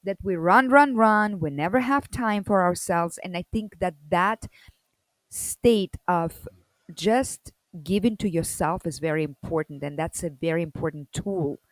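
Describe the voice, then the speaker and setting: A woman from the former Soviet Union, telling her personal story as a guest in a loud, energetic and expressive way. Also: lowish voice, a little slow, no echo, low-quality recording.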